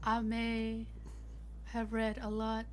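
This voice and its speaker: A woman's voice: a little high, monotone, slow pace, crowd noise. A playful, joking woman chatting casually and roleplaying as a player in a tabletop game. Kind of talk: casual banter and in-character roleplay.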